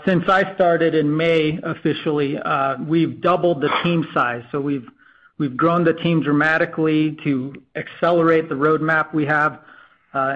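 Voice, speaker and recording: A man's voice: a little high, highs cut off. A man presenting business plans as a technology executive on an earnings call, with frequent uhs between phrases.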